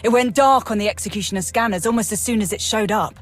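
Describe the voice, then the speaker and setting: An actress playing a brash, wry military major in a video game, blunt and breezy. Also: female voice, mid-range voice, fast talker, faint music behind, close to an everyday voice. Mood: concerned.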